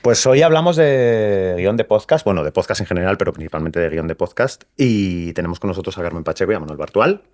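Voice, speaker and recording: A man's voice: low-pitched, animated, fast, hardly any pauses, darkish tone. A man with an accent from Spain hosting a podcast, introducing and questioning guests in an informal, somewhat hesitant way. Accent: Spanish from Spain. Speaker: podcast host. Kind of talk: casual podcast introduction and interviewing.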